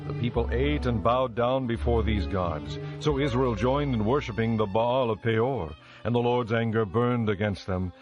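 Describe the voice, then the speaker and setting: A man's voice: mid-range voice, moderate pace, few pauses, a little mellow. A man narrating scripture as a scripted dramatized audio book. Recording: dull lo-fi audio with music. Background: music.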